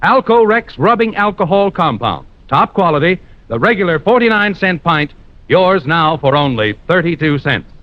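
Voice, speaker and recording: A man's voice: on the high side, very animated, normal speed, no room sound. A man announcing a sponsor's message in mid-century radio style, with a polished, emphatic sales delivery. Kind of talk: 1940s-50s radio commercial announcing. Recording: muffled old-time radio recording.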